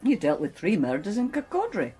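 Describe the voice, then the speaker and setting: An actress playing an affectionate, teasing, well-bred middle-aged wife in a radio drama. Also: female voice, mid-range voice, huge pitch swings, fairly quick, husky, faint music behind, exaggerated voice. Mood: teasing, reassuring. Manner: gently teasing, coaxing. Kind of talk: naturalistic British radio drama acting.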